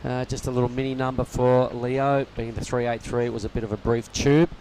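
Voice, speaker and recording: A man's voice: mid-range voice, level tone, fairly quick, a little mellow, a little hiss or hum, rough recording. A man leading live surf commentary, upbeat and chatty, calling the heat and steering the conversation. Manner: upbeat, leads the talk, says mate. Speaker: lead commentator.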